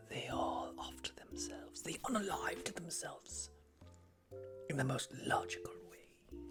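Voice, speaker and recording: A woman hosting an ASMR live stream in a breathy whisper, chatting in a playful, whimsical, mock-dramatic way. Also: low-pitched. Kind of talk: ASMR chat with live audience. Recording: loud music under the voice. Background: music.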